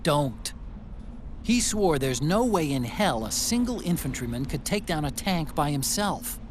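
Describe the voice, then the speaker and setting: A man's voice: a little high, a little slow. An actor playing a chatty, nerdy male engineer and sidekick in a video game cutscene, talkative and earnest. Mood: curious. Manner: talkative, earnest, gets excitable. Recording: game audio with clear background noise.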